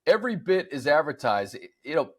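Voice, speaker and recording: A man's voice: fairly high pitch, very animated, fairly quick. An American man hosting a sports show with casual, conversational football commentary. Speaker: sports show host and analyst.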